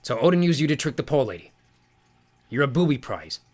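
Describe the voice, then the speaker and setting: A man's voice: mid-range voice, very animated, a little fast, darkish tone, pitched above the performer's own voice, close to an everyday voice. A man voicing a blunt, prickly male dwarf in an audiobook, curt and skeptical.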